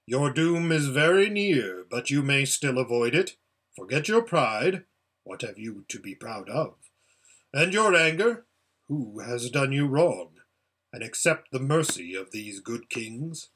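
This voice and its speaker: A man voicing an immense wise lion who speaks quietly and solemnly. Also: medium pitch, big pitch swings, measured pace, husky, heavily acted. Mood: grave.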